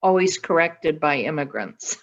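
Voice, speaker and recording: A woman chatting and telling personal stories in a slow, deliberate way over a video call. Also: low voice, lively intonation. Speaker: podcast guest. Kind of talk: casual conversational storytelling. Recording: dull, lo-fi video call audio.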